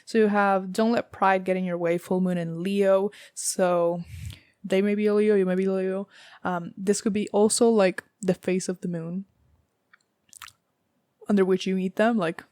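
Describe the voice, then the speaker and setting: A young woman with a raspy, sore-throated voice, giving a casual tarot reading in a rambling way, full of fillers and the odd swear word. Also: medium pitch, steady tone, measured pace, darkish tone, no room sound, clean studio sound. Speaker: tarot reader.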